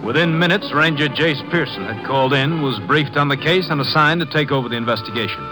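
A man's voice: a little high, fairly quick, low-quality recording. Male radio-drama narrator over prominent music. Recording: old radio recording.

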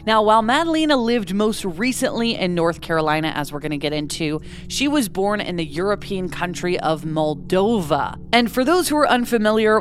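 A woman's voice: on the low side, a little hiss or hum. A woman hosting a true-crime podcast, narrating a case in a conversational way with casual asides. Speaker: podcast host.

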